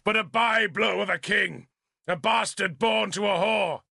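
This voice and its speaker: A man's voice: a little high, expressive, slow, gravelly, breathy edge, no echo, studio quality, exaggerated voice. A man voicing a commanding, bitter and defiant warrior lord in an audiobook. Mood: angry, loud. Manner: forceful, commanding, embittered. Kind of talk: dramatic audiobook character voice.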